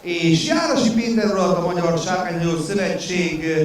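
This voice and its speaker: A man's voice: high voice, a little fast. A man making formal ceremony announcements over a public-address system. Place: large sports venue, over loudspeakers. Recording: echoey public-address sound from far off.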